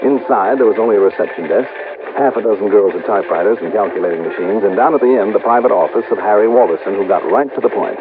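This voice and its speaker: Vintage radio investigator played by a male actor: American man, lowish voice, shrewd and measured, on a thin, hissy old broadcast. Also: natural voice. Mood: brisk, matter-of-fact. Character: shrewd, businesslike male freelance insurance investigator. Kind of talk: 1950s radio drama acting, with first-person case narration. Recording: muffled, noisy old radio broadcast recording.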